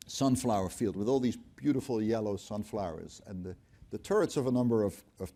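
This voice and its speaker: A middle-aged man speaks from an auditorium stage into a lapel mic. His voice is mellow and rather low. He explains at an even pace, with dry asides and frequent ums. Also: brisk. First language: Dutch. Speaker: company CFO, guest speaker. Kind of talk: onstage conversation. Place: auditorium stage before an audience.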